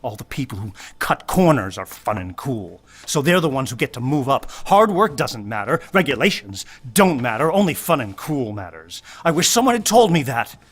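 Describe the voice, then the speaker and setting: Resentful male security officer, husky and animated, wheedling menace for an audio thriller. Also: mid-range voice, normal speed, few pauses. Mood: bitter. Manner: petulant, menacing, volatile. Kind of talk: audio drama acting.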